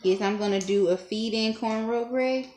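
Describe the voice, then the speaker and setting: A young woman talking casually through a hair-braiding tutorial at home, chatty and self-teasing, laughing often, recorded on a camera propped low in front of her. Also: normal speed, dark tone. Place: small dim room at home. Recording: echoey room, faint noise.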